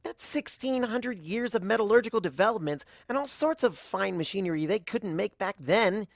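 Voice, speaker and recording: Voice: mid-range voice, lively intonation, normal speed, gravelly, no room sound, studio quality, pitched above the performer's own voice. A narrator voicing an anxious, brainy young female pony who talks in wordy, awkward bursts. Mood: protesting.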